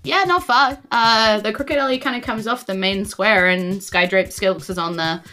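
Kiwi woman game master describing stuff off the cuff, bright voice, bit of music behind. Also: mid-range voice, normal speed. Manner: informal, descriptive. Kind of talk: improvised narration of a tabletop game.

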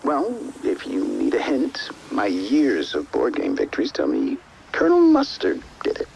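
An actor playing an easygoing husband and father in a video game, reassuring and gently teasing, with a creaky voice. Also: mid-range voice, huge pitch swings, normal speed, poor audio. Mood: tender, teasing. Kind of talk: video game drama acting. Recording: faint background ambience noise.

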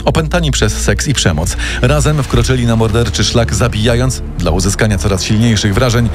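A man's voice: medium pitch, a little fast, over music. A man narrating a scripted true-crime story in a dramatic storytelling style.

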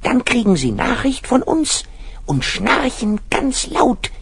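Ein Mann spielt in einem Kinderhörspiel ein Zeichentricktier, das bestimmend und beruhigend die Wache anführt. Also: male voice, very high voice, very animated, takes it slowly, creaky, husky, exaggerated voice. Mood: reassuring, conspiratorial. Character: calm reassuring cartoon animal leading a guard team. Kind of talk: children's audio play acting.

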